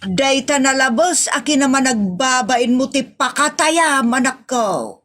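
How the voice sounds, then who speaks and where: A woman playing a teasing, nagging mother and wife in a comedy audio drama, with a chiding, expressive manner. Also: sits high, a little slow. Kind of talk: Ilocano comedy radio-style drama acting.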